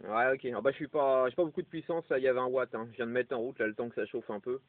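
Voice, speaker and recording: A man's voice: mid-range voice, steady tone, fast, no room sound. Frenchman on a dry, narrow radio link. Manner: colloquial, tags phrases with interjections. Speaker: amateur radio operator. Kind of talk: casual two-way radio chat. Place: parked vehicle. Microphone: radio microphone. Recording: dry, phone-like two-way radio transmission.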